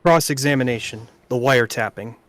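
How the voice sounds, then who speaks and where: A man's voice: medium pitch, brisk, fairly bright tone. A man narrating a video game playthrough, reading out on-screen text in an amateur way.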